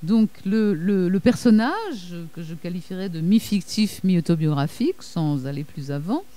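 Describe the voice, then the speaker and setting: A woman giving an academic lecture, reading quotations aloud and pausing often with fillers and self-corrections. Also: lowish voice, expressive, mellow.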